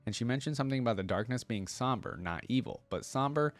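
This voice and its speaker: A man's voice: medium pitch, expressive, fast. A man hosting a reaction video, reading story text aloud and commenting casually. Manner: informal, thinking aloud.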